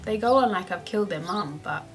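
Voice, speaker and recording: A woman's voice: medium pitch, animated, fairly quick, soft background music. A young woman vlogger who answers viewer questions in a chatty, upbeat way and laughs often. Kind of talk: casual Q&A vlog.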